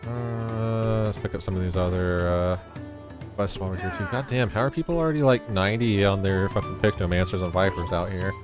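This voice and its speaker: A male game streamer with a deep voice chats casually as he plays. He mutters offhand remarks to himself, with music clearly behind him. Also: a little fast. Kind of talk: casual play commentary.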